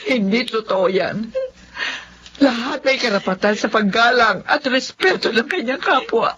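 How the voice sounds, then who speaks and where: An actress playing a consoling middle-aged mother in a Filipino radio drama, emphatic and measured. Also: on the high side, animated, a bit bright, husky, lo-fi sound, exaggerated voice. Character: consoling, indignant mother of a grown daughter.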